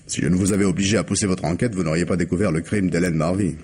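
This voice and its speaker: An actor playing a weary, remorseful husband who confesses haltingly in a French radio crime drama. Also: male voice, deep voice, steady tone, a little mellow, lightly acted. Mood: bitter resignation. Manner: halting, hesitant confession with repeated words. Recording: hissy old radio drama recording.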